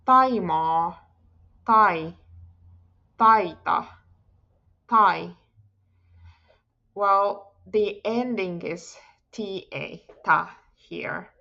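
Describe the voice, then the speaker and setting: A woman teaching a Finnish language lesson, speaking slowly and repeating each word clearly for learners. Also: mid-range voice, bright, forceful tone, lo-fi sound. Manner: slow, careful pronunciation drills, repeating each word. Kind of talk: slow scripted language lesson.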